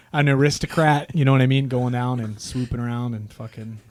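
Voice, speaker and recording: A man's voice: mid-range voice, normal speed, darkish tone. A man co-hosting a podcast, talking animatedly and swearing freely. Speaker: podcast co-host. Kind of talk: casual chat.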